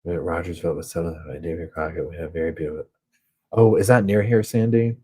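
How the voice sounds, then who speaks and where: Husky low-voiced Southern man chatting. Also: steady tone, fast talker, warm tone. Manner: rambling, chatty, heavy on filler words. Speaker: true crime channel host. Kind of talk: casual live chat reading viewer comments. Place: hotel room.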